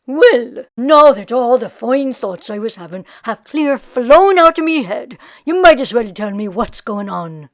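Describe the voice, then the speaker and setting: A woman's voice: on the high side, lively intonation, measured pace, hardly any pauses. A woman voicing a lazy Irish husband in a storybook, drowsy, blustering and sweet-talking in a folksy, self-justifying way. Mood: grumbling.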